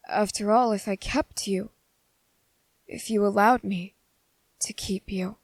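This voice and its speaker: A woman acts out a gentle, brooding male vampire who is possessively in love, in an ASMR roleplay. The delivery is unhurried and halting, coaxing the listener tenderly. The sound is intimate and very dry, with no room around the voice. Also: mid-range voice, creaky, no room sound, exaggerated voice. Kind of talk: ASMR character roleplay, intimate scripted acting.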